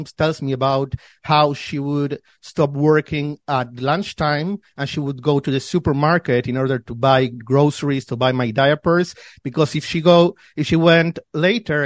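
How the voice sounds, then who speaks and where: Man, 30s, from Argentina, podcast guest, explanatory, repeats and restarts, slightly high voice, dry sound. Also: no echo. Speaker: guest, financial newsletter founder. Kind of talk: podcast interview.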